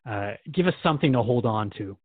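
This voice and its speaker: A man's voice: mid-range voice, lively intonation, quick pace, slightly rough, no echo. A man playing an earnest radio host in a radio drama, asking curious questions and voicing mild worry. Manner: earnest, questioning, nervous laughter. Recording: dry tinny phone-like sound.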